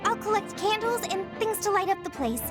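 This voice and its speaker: An actress playing an earnest, easily flustered young woman in an anime game, with expressive delivery. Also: extremely high pitch, brisk, over music. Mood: earnest, helpful.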